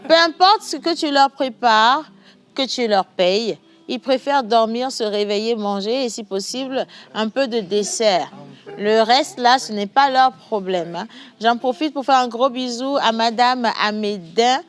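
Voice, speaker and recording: A woman's voice: on the high side, a little slow, clear, resonant tone. A woman hosting a studio talk show in Togolese-accented French, chatting animatedly and reading viewer messages aloud. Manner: animated, laughing, shifts from chatty to soft.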